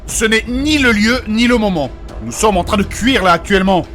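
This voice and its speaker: A man's voice: sits high, moderate pace, fairly bright tone, faint music behind. A man playing a fussy, learned, courteous fantasy adventurer who speaks with formal, precious, old-fashioned diction in a comic audio drama. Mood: exasperated.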